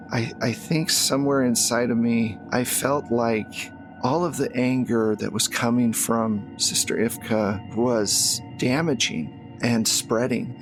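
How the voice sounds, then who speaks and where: Man voicing a faltering, confused, regretful nun. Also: medium pitch. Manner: halting, faltering with repeats. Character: confused, regretful nun haunted by shadowy memories.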